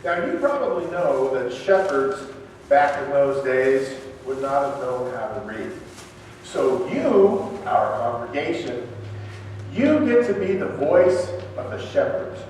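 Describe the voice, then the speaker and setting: A man's voice: mid-range voice, lively intonation, slow pace, a little mellow. A man narrating a scripture dramatization to a congregation in a church. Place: large church interior. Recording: echoey church hall from far off, faint noise.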